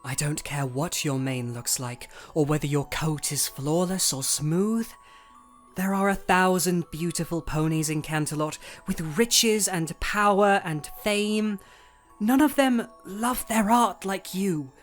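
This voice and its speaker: A woman voicing a young male pony artist in a storybook, earnest, gentle and devoted, with a measured, persuasive way of speaking. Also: lowish voice, faint music behind. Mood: earnest, tender.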